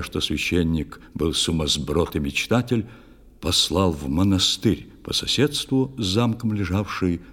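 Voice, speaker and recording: A man narrating a children's audio play in verse, in a measured, expressive, theatrical manner. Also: deep voice, slightly rough, in a large hall.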